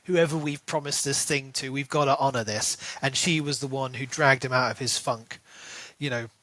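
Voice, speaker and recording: A man's voice: medium pitch, normal speed, pushed and bright, no echo, rough recording. A man co-hosting a podcast in a casual book discussion, speculating aloud and posing questions as he goes. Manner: speculative, rhetorical questions, acts out quotes. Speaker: podcast co-host.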